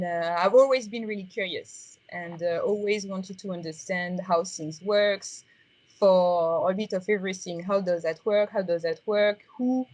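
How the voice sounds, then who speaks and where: A woman with a strong French accent, answering interview questions in a reflective, halting way with many ums and uhs. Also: medium pitch, a little mellow, husky, no room sound, low-quality recording. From France. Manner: halting, many fillers and restarts.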